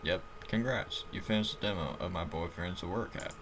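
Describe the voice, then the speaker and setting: A man's voice: deep voice, moderate pace, noisy background, pitched above the performer's own voice, natural voice. A man voicing a perky, teasing female vet-clinic guide in a comic game, breezy and playful. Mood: congratulatory.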